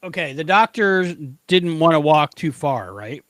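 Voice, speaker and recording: A man's voice: a little high, expressive, a little slow, a bit bright, no echo, studio quality. A man chatting casually as a podcast co-host, discussing things in a loose conversational style. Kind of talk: casual chat, episode discussion.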